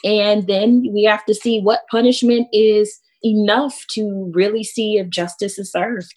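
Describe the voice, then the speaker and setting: A woman's voice: medium pitch, fairly even intonation, measured pace. American woman explaining as a panelist.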